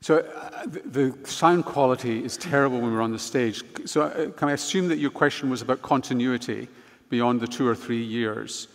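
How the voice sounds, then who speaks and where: A man with a gravelly voice moderates a panel from a stage. His words carry a long reverberant tail from the big hall around him. He talks in a conversational way, often restating and summing up what others asked. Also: medium pitch, moderate pace. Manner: apologetic, restating audience questions.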